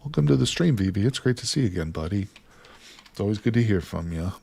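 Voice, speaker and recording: A man's voice: lowish voice, animated, fast talker, gravelly, darkish tone, breathy edge. A man live streaming a survival game, chatting casually and joking with his viewers as he plays. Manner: chatty, jokes with chat, greets viewers by name.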